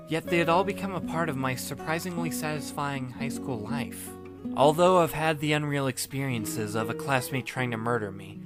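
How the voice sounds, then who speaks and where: A man narrating a fan audiobook in the first person as a wry, laid-back high school student, deadpan and musing. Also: close to an everyday voice. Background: music, plucked guitar.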